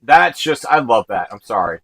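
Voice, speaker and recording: A man with a booming voice hosting a live stream, energetic and shouting out viewers. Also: moderate pace, vocal fry. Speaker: stream host.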